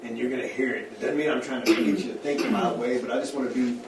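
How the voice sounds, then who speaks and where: A man on a school board, passionate and loud, speaking his mind in a group discussion. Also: mid-range voice, steady tone, fast talker, gravelly. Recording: echoey room, distant mic, background noise.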